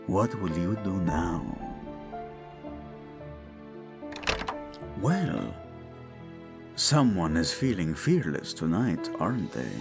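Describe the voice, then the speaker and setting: Man playing a deep-voiced, suave teasing prince. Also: exaggerated voice. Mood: flirtatious, tender. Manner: teasing, playful, regal, drawn-out phrasing. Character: suave teasing prince, doting husband, imperious with underlings. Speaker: voice actor in a romantic audio drama. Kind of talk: intimate romantic audio roleplay addressed to listener.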